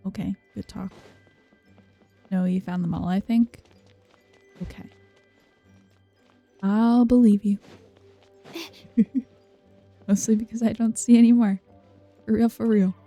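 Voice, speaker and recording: Female game streamer: extremely mellow, slow-paced, casual offhand commentary, talking half to herself. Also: mid-range voice, fairly even intonation. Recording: game music behind the voice.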